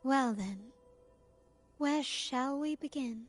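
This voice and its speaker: A woman playing a poised, cultured and enigmatic young lady in a video game, speaking formally and with measured eloquence. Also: high voice, soft background music. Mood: polite, intrigued. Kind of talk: scripted video game dialogue.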